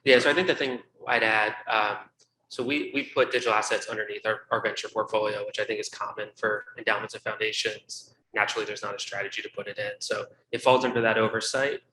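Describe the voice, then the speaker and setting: A man speaking as a panelist about his investment role, quick and a little self-deprecating. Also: lowish voice, level tone, pushed and bright. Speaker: endowment investment staff, panelist. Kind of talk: conference panel remarks. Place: conference room with live audience. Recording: echoey room, faint hum.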